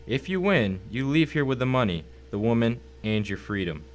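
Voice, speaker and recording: A man's voice: mid-range voice, lively intonation, moderate pace, a little hiss or hum, lightly acted. A man playing a smug, wealthy, cultured villain in an audio drama, speaking in a pompous, formal, mocking way. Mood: coolly persuasive. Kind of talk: dramatic audio story acting.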